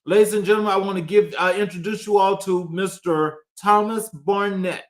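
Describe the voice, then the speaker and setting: High-voiced man hosting an interview. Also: steady tone, moderate pace. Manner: formal list-like delivery, stumbles with fillers. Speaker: show host. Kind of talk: reading a guest introduction, then interview.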